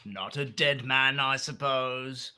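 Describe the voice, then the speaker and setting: Shady wheedling thief in a radio play, really harsh bright voice, slowish, brazen. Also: male voice, medium pitch, steady tone, clean tone. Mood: brazen, sly. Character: seedy, brazen, self-justifying thieving scavenger. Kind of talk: theatrical radio play acting.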